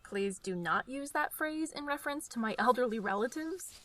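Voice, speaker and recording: A woman's voice: fairly high pitch, expressive, normal speed, a bit bright. A young woman acting in a radio drama as a practical, loyal travel companion, dry and slightly prim. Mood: dry, deadpan.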